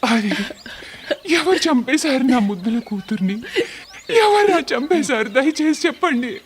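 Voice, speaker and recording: A man's voice: very high-pitched, expressive, a little slow, slight background noise. A man voicing a grief-stricken village father in a cartoon story. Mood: anguished pleading. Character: grieving village father of a murdered daughter.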